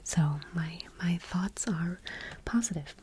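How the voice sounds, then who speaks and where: A woman speaks in a gentle ASMR whisper, slightly low and very mellow. She takes her time with every phrase, close to the microphone, with a faint noise behind her. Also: takes it slowly. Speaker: ASMR creator and host. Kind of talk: soft-spoken ASMR, whispered product showcase.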